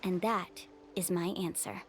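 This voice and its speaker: A woman's voice: lowish voice, steady tone, unhurried, a little hiss or hum, lightly acted. An actress playing a confident, upbeat young woman who works as an adventure guide in a video game, earnest and encouraging. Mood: calm, earnest. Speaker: tour guide character in a game.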